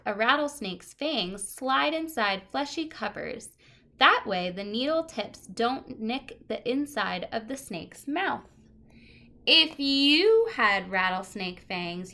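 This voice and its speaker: Slow, lively-pitched woman reading to children. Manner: expressive, explanatory, emphasizing key words for young listeners. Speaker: teacher reading aloud to children. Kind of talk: read-aloud of a children's nonfiction picture book with short asides.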